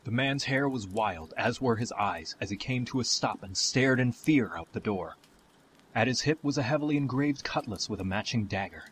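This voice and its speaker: A man's voice: medium pitch, animated, normal speed, a bit bright, a little hiss or hum. Male fantasy narrator over faint ambience. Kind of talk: scripted fantasy storytelling narration.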